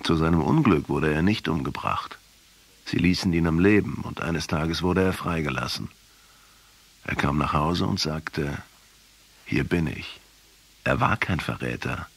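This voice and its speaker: An earnest, principled professor in a radio drama. The voice is very low and husky. He argues deliberately, with an accusing edge, like someone pressing a case. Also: full character voice. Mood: sombre, recounting. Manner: deliberate, argumentative. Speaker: radio play actor.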